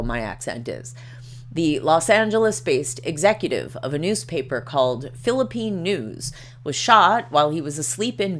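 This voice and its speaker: A middle-aged woman with a low-pitched voice hosts a livestream. She moves between reading aloud and casual commentary, wandering off into wry, chatty asides. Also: fairly bright tone. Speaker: livestream host.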